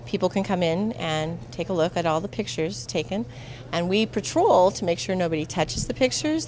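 Woman, 40s, American, slightly low voice, volunteer explaining in an informal interview. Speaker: exhibit volunteer organizer. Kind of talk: unscripted interview talk. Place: indoor exhibit hall. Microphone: handheld microphone.

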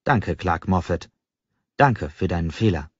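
A man's voice: low voice, expressive, measured pace, breathy edge, no echo. A man reading a novel as a scripted first-person audiobook narration. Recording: dry, slightly dull audiobook recording.

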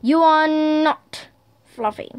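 Woman as a questioning, high-voiced storybook teddy bear. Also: slow pace, dry recording. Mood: doubtful. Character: doubtful but kindly teddy bear friend.

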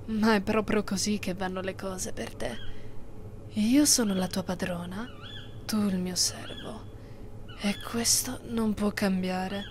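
Casting: actress as immortal female vampire mistress for ASMR roleplay; mid-pitched, condescending, possessive, slow near-whispered commands. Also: slight background noise, natural voice. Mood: cold, domineering. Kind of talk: ASMR roleplay, breathy close delivery.

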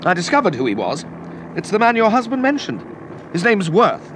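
An actor playing a courteous, anxious English gentleman in a vintage radio drama, with a British accent and hesitant, formal speech. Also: high-pitched, wildly swooping pitch, a little fast. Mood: tense, confiding. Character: polite, anxious English gentleman, earnest and apologetic. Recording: noisy old radio drama recording.

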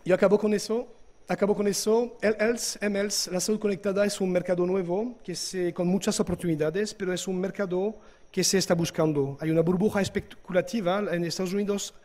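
A man, giving a conference talk in a hall with halting hesitations and restarts. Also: high voice, steady tone, a little mellow. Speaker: conference speaker. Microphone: lectern microphone.

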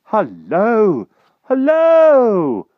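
A man voicing a flustered, excitable, old-fashioned gentleman king in a storybook, politely exclaiming with posh verbal tics. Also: mid-range voice, a little slow, no echo, narrow, tinny audio, pitched above the performer's own voice. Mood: excited greeting.

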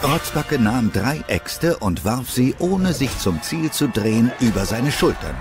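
A man's voice: mid-range voice, sing-song, unhurried, music in the background. A man narrating a scripted story as the narrator of an audio drama.

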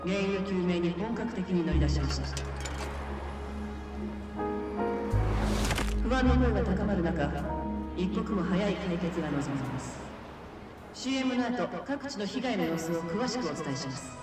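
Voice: mid-range voice, expressive, normal speed, long pauses, natural voice. A performer playing a composed, formal television news announcer reading a scripted broadcast in a video game. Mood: serious, formal. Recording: very echoey hall, distant, music behind.

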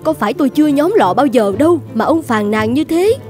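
A woman playing an exasperated, plain-spoken village housewife in an animated fairy tale, protesting and talking back. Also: high-pitched, brisk, soft background music. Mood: indignant.

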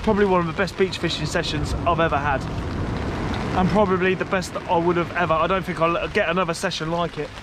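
Guy vlogging on a beach, quite high voice, chatty and informal, rain noise all round him. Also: fairly even intonation, quick pace. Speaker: fishing vlogger. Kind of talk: casual vlog chat to camera. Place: outdoors on a stormy beach under an umbrella. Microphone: camera at arm's length. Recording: clear rain and weather noise outdoors.

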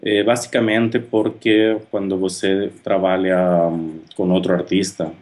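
Man, 30s, Spanish accent, very low voice, hesitant, fillers, close webcam, indoors. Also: little pitch movement, darkish tone. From Spain. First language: Spanish or Catalan. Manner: halting, thoughtful, many fillers and restarts. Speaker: artist. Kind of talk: reflective monologue to camera. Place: indoor room at home. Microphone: webcam at close range.

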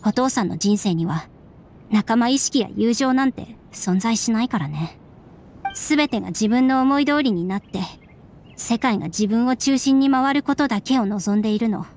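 An actress voicing a subdued young woman in a Japanese game, speaking in a measured, wistful and serious way. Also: on the high side, animated, a little mellow, slight background noise. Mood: resigned, sad. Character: subdued, resigned young woman, estranged daughter of strict scholar. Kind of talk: Japanese anime game voice acting.

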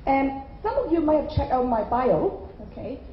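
A woman's voice: high voice, sing-song, moderate pace, a little hiss or hum. Woman keynote speaker in a roomy hall. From Ireland. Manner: conversational, frequent "okay?" check-ins. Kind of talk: conference keynote. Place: large hotel conference hall. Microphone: handheld mic. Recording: roomy hall sound, slightly dull.

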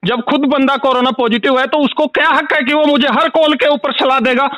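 Male caller, very high voice, furious and insistent, customer complaint rant, dry phone recording. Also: steady tone, brisk, a bit bright, dry recording. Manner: angry, insistent, ranting, repetitive. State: angry. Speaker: complaining customer calling a helpline.

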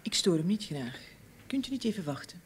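Zakelijke, kordate secretaresse. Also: female voice, on the low side, big pitch swings, brisk, slight background noise. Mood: formal. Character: brisk, businesslike female shipping-office secretary. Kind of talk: old radio drama acting.

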